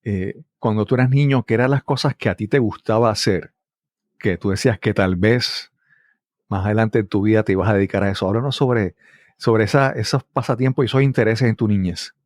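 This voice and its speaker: Man hosting, slightly low voice. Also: fairly quick, darkish tone, no room sound, clean studio sound. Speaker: podcast host. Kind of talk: conversational interview.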